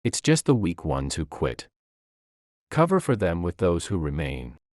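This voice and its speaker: A man's voice: low voice, big pitch swings, measured pace, darkish tone, dry recording, clean studio sound. A man voicing an arrogant, exploitative male company director who is curt and dismissive, in a narrated story. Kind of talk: quoted dialogue in story narration.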